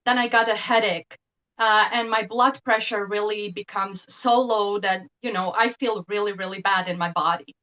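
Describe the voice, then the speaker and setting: Bright-voiced woman, guest on a video call. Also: mid-range voice, fairly even intonation, moderate pace, dull audio. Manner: thoughtful, hesitant with frequent ums, laughs lightly. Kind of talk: casual interview talk.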